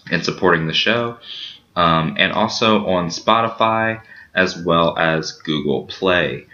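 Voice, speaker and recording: A man hosting a casual podcast, rambling through shout-outs and promos with lots of fillers. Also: deep voice. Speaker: podcast host. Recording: dull lo-fi sound.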